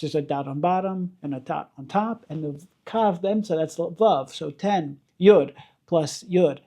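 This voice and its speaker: A man's voice: on the high side, creaky, mellow. A man giving an informal Torah lesson to camera as a rabbi, explaining emphatically with repeated phrases and rhetorical questions. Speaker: rabbi and teacher.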